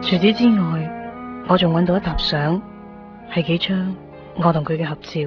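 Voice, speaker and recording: A woman playing a wistful, heartbroken young woman who narrates a radio drama in measured, reflective phrases. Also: on the low side, close to an everyday voice. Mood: fond, nostalgic. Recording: muffled 1990s radio recording, music behind.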